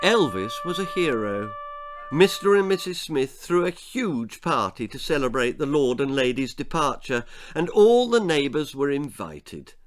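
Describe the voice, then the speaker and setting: A man's voice: mid-range voice, very animated, a little slow. An English man reading his own comic children's story aloud as a dramatic narrator who relishes each detail.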